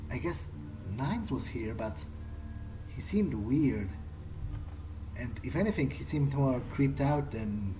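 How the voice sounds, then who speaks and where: A man's voice: mid-range voice, animated, slow, noisy background. A man voicing a curious, wary vampire in a video game playthrough, casually thinking aloud to himself. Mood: unsettled, puzzled. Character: curious, wary male vampire exploring a creepy mansion. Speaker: let's player playing in character. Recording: music behind the voice. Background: game soundtrack music.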